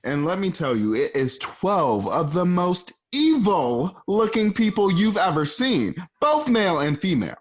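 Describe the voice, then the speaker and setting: A man casually narrating the stage directions of an amateur radio play, chatty and animated. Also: high voice, unhurried, hardly any pauses.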